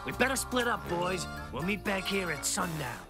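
Cartoon master criminal, pint-sized and cocky, high gravelly voice, boastful tough talk, loud music. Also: male voice, sing-song, moderate pace. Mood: cocky scheming. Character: small, cocky escaped convict, master criminal. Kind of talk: cartoon voice acting.

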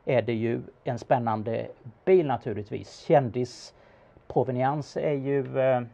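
A man giving casual expert commentary in a conversation, speaking haltingly with pauses in mid-sentence. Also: medium pitch, vocal fry, mellow. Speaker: car expert answering questions about an auction car.

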